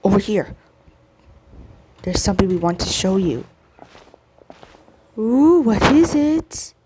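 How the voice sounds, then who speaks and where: A woman gaming streamer reading dialogue aloud during a playthrough, halting and stumbling, often repeating words. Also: mid-range voice, sing-song, noisy background. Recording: game music behind the voice. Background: video game music.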